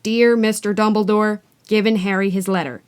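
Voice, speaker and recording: A huge, rough-mannered giant of a man who bursts out loudly when he is angry and drops low when he is anxious, as a woman puts him on while reading. He talks in a blunt, homely way. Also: fairly even intonation, moderate pace, lightly acted. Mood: gruff. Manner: blustering, loud outbursts. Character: big rough-voiced half-giant man, gruff and warm.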